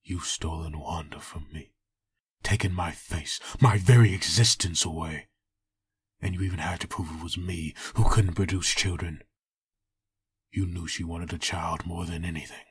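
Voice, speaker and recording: A man playing an anguished, vengeful undead antihero in a comic dub, gravelly and husky, bitter and dramatic. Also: low-pitched, fairly even intonation, normal speed.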